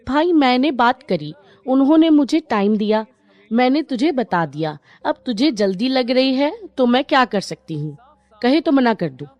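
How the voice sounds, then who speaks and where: A woman plays a brisk matchmaking relative in a cartoon moral tale. Her voice is high and swings freely in pitch. She is chatty and matter-of-fact, recorded close and dry. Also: very animated, dry recording, lo-fi sound. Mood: brisk, miffed. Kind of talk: animated Hindi moral story dialogue.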